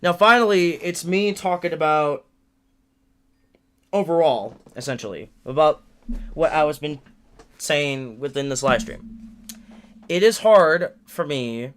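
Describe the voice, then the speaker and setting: A man's voice: a little high, lively intonation, slow, pauses often, a bit bright, smooth. A nineteen-year-old man giving a rambling livestream update from a room in his family home, venting with frequent restarts and repeated words. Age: late teens. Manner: frustrated, venting.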